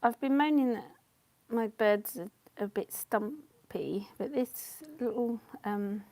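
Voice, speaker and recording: A chatty woman hosting a painting stream, her voice worn down and a little gravelly. She talks loosely to her viewers between laughs, close and dry to the mic. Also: a little high, takes it slowly, dark tone, no room sound. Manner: chatty, laughs often, reads comments aloud. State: losing her voice, coughing. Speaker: painting livestream host. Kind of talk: casual chat while painting.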